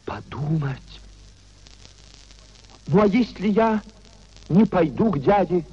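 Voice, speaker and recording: A man's voice: mid-range voice, dark tone, breathy edge, exaggerated voice. An actor playing an anguished young idealist husband giving up his principles, theatrical and emotional, in a 1950s radio play. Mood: anguished, wavering. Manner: theatrical, emotional, with drawn-out repetitions. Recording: dull 1950s radio drama recording.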